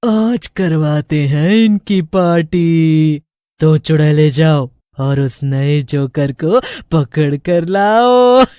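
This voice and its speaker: A woman voicing a gloating, menacing witch leader in a cartoon horror story, taunting in drawn-out commands with cackles. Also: low voice, warm tone, dry recording, clean studio sound. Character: gloating, menacing female witch leading her coven.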